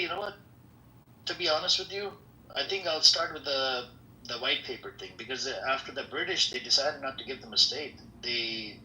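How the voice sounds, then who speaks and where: A man talks through history in loose casual chat, stopping and restarting often. His voice is very bright and forceful. The room around him is echoey, with a faint hiss behind. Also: mid-range voice. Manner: rambling, many fillers and restarts. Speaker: podcast host.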